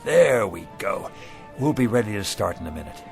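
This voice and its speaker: An actor playing an eager, obliging, down-on-his-luck street pitchman of about sixty, with folksy sales patter, in a radio drama. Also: big pitch swings, normal speed, gravelly, music in the background. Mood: gentle, wistful. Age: older.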